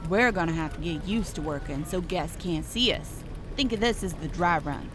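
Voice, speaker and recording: A woman's voice: on the low side, lively intonation, moderate pace, close to an everyday voice. Actress for female team leader in radio play: no-nonsense, commanding, music underneath. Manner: authoritative, no-nonsense. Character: brisk, commanding female head veterinarian.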